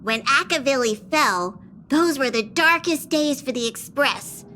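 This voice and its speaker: Tiny game creature, very high bright voice, fretful train conductor, scolding, lively, faint music behind. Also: female voice, measured pace, slight background noise, heavily acted. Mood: wistful. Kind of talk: video game voice acting.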